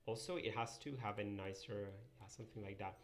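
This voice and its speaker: A man's voice: on the low side, brisk, soft background music. A young man whose first language is Italian, casually thinking aloud and answering live chat while he draws pixel art. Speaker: streamer drawing pixel art.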